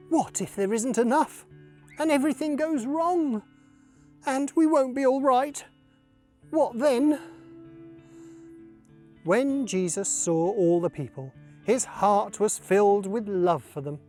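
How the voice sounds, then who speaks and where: Female children's storyteller: very slow delivery, lively melodic range, light music bed. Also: a little high. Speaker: story reader.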